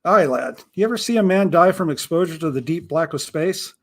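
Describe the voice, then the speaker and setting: A man voicing a gruff, wary old male pirate with a rumbling baritone and a salty pirate-style accent, calling everyone lad. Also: lively intonation, a little fast. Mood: grim. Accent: pirate-style rustic accent.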